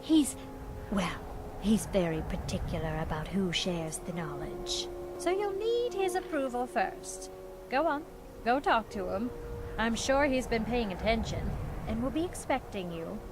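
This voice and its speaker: A woman's voice: a little high, expressive, measured pace, over music. A woman voicing an earnest, fussy teacher of healing magic in a fantasy video game, emphatic and slightly prim. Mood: earnest, admiring. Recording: clear background noise and game ambience.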